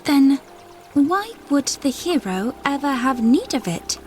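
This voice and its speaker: A woman's voice: sits high, big pitch swings, slow, darkish tone, faint music behind, exaggerated voice. A woman playing a young, headstrong fantasy princess in an audio drama, earnest and intense as she speaks. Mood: keen, curious.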